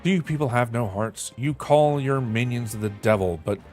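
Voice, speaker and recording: A man's voice: mid-range voice, normal speed, a little mellow, soft background music. A young man streaming a game, reading the dialogue aloud and chatting in a loose, self-mocking way.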